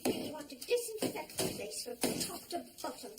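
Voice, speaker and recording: Naive meek housewife, super high voice, lots of noise on the sound. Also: female voice, big pitch swings, normal speed. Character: naive, well-meaning middle-aged housewife. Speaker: neighbour, wife. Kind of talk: sitcom acting. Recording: noisy broadcast sound.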